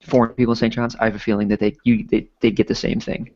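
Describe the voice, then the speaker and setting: A man with a Newfoundland accent talks in a low voice, looping through filler words as he rambles. His call audio sounds dull and lo-fi. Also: level tone, fast talker, darkish tone. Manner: rambling, many filler likes. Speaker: co-host. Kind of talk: casual podcast chat.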